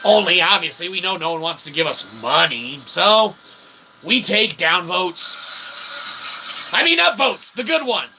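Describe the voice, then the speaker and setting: Male internet host, high voice with heavy fry, folksy tongue-in-cheek rambler, clearly noisy recording. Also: lively intonation, unhurried, bright, forceful tone. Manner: folksy, repeats "okay?" as a tag; self-deprecating, tongue-in-cheek. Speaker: independent internet channel host promoting his books. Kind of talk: rambling comic monologue to viewers.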